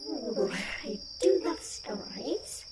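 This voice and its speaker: A woman's voice: high-pitched, lively intonation, slow, creaky, very noisy, heavily acted. A woman playing a haughty, playful tree nymph in a fantasy roleplay audio drama, with an airy, theatrical, teasing way of talking. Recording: distant, echoey, loud night insect ambience.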